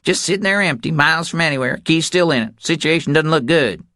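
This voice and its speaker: Man voicing a commanding Texan ranch matriarch, pitched high. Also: animated, a little fast, sharp tone, no room sound, clean studio sound. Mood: curt, impatient. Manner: brusque, bossy.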